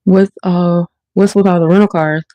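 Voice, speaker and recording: Casual home streamer, woman, slightly low voice, stuffy and sniffly, hesitant and meandering, dry kitchen recording. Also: fairly even intonation, moderate pace, dark tone, no echo, low-quality recording. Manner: rambling, hesitant, many ums and restarts. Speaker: livestream host. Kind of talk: casual livestream chat. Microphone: phone or webcam while washing dishes.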